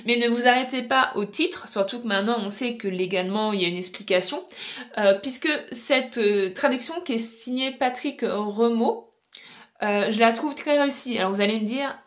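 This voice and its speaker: High-voiced woman, chatty book vlogger. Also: moderate pace, a bit bright. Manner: chatty, explanatory, addressing viewers directly. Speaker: book vlogger presenting to viewers. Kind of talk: informal video essay on books and film.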